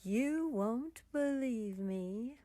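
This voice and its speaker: A woman's voice: on the high side, lively intonation, very slow, warm tone, dry recording. An actress playing a once-drab woman turned vengeful witch, speaking in a cold, taunting manner in a radio drama.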